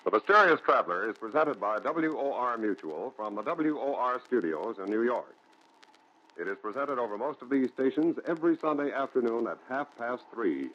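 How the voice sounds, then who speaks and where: A man's voice: mid-range voice, lively intonation, normal speed. A man announcing from a script in 1940s radio style. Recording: muffled 1940s radio recording.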